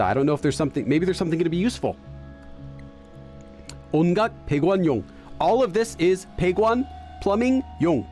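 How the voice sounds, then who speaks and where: A man's voice: sits high, a little mellow. Man, gaming host, casual play-along commentary, hesitant fillers, faint music behind. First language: English. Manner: thinking aloud, hesitant, many fillers and restarts. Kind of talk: casual let's-play commentary, translating aloud.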